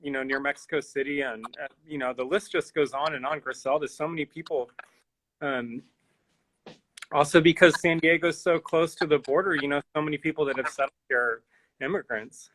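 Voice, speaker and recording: A man's voice: fairly high pitch, fairly even intonation, a little fast, a bit bright, a little hiss or hum, rough recording. A man interviewing an artist, asking long, loosely worded questions and giving frequent backchannel sounds. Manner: friendly, rambling questions with many backchannels. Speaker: curator, interviewer. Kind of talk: conversational interview.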